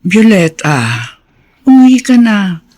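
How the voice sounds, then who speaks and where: A woman's voice: medium pitch, lively intonation, slow, warm tone. A woman playing a caring but insistent older sister in a Filipino radio drama. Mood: firm, urging.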